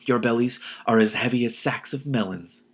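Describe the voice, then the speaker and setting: A man's voice: mid-range voice, moderate pace, husky. A man voicing a clever, desperate captive heroine in a storybook, speaking persuasively and coaxingly. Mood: urgent, persuasive.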